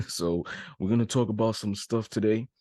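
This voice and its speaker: Deep, mellow-voiced male podcast host. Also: a little slow, dry recording, studio quality. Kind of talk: casual podcast interview.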